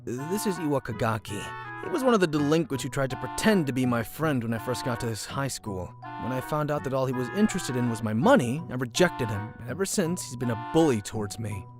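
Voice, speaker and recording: Man as nerdy teenage boy, shy, earnest, swinging pitch, anime dub narration, music behind. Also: expressive, brisk, hardly any pauses, natural voice. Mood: glum, reflective. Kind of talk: manga dub narration and dialogue.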